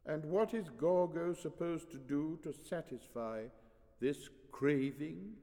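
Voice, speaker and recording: A man voicing an indignant, suspicious mother in a storybook, sharp and questioning. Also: fairly high pitch, slow, big-room sound, pitched above the performer's own voice.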